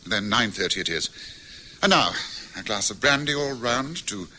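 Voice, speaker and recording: An actor with a British accent playing a shrewd, dry-witted detective in a vintage radio drama, crisp and quietly confident. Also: male voice, on the low side, expressive, normal speed, a little hiss or hum. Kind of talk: 1950s-60s radio drama acting.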